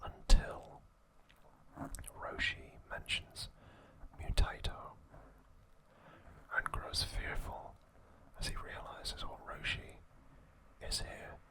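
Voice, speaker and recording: A man narrating trivia for ASMR in a soft, breathy whisper, reading deliberately in short broken phrases. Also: poor audio.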